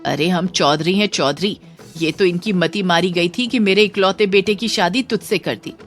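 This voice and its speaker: A woman's voice: lowish voice, moderate pace, faint music behind, natural voice. A woman voicing a scornful, haughty older village mother-in-law, bossy and sneering, in a moral story. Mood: scolding, scornful. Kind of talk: Hindi moral story dramatization.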